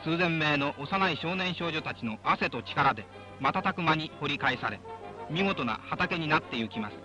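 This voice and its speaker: A male newsreel narrator of the 1940s speaks in a rather high voice, formal and declamatory. The delivery is rousing wartime narration. The old soundtrack is dull and lo-fi, with prominent music playing behind him. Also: lively intonation, moderate pace. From Japan. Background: loud background music.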